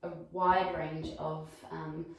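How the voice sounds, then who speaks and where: A woman's voice: low voice, flat delivery, slow, vocal fry, lo-fi sound. A woman in her forties with a New Zealand accent, playing a friendly, chatty real estate agent with many ums. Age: 40s. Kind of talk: acted sales meeting. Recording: echoey room, mic far off.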